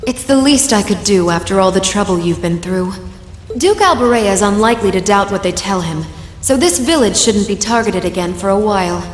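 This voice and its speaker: A woman's voice: mid-range voice, animated, fairly quick, reverberant, big-room sound, a little hiss or hum, lightly acted. A woman playing a smug, teasing witch villainess in a video game, with mocking, theatrical politeness. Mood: composed, commanding. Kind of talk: dramatic video game voice acting.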